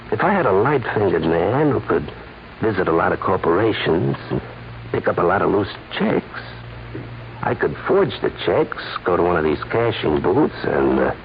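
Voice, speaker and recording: A man's voice: low voice, very animated, a little slow, vocal fry, heavily acted. An actor playing a sly, smug holdup man with coy hesitations and chuckles in a 1940s radio crime drama. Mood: scheming. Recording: muffled, hissy 1940s radio recording.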